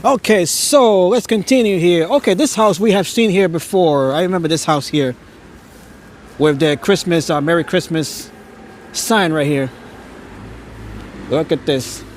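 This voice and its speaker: A man's voice: a little high, unhurried, pauses often. Awed New man filming on a gimbal. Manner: awed, stammers now and then, chuckles often. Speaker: live-stream host touring holiday lights. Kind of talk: casual live-stream narration. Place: outdoors on a neighborhood street at night. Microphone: phone on a handheld gimbal.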